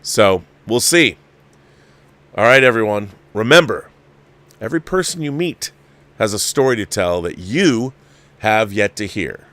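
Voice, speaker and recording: A man's voice: fairly low pitch, lively intonation, a little slow, pauses often, a bit bright, dry recording. A man hosting a film review livestream, chatting off the cuff to viewers in a rambling, emphatic way and often repeating his phrases. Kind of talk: casual off-the-cuff movie review chat to viewers.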